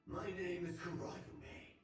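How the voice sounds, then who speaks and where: A man's voice: sits high, flat delivery, slow pace. A man playing a formal, theatrical, menacing nightmare monster in a video game, the last of his kind. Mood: courteous menace. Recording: very echoey, distant, music behind.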